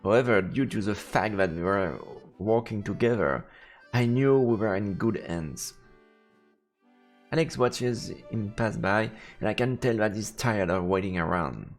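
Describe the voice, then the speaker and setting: Low, gravelly man reading aloud. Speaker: let's play streamer. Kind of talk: reading visual novel narration aloud. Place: indoors, streaming setup. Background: faint game music.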